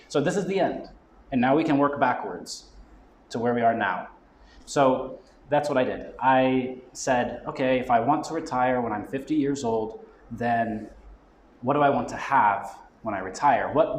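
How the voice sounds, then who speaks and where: A man's voice: medium pitch, slight background noise. A man speaking as a marketing expert at a live event, explaining his ideas conversationally and often checking in with "right?". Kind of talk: live talk explaining business goal setting. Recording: roomy live venue with faint background ambience.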